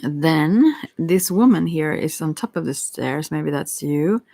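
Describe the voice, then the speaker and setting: Halting, creaky-voiced tarot reader, very close and dry. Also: medium pitch, animated, dark tone, no room sound. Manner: hesitant, halting, apologetic, with frequent self-interruptions. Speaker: tarot card reader, ASMR host. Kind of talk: rambling ASMR tarot reading.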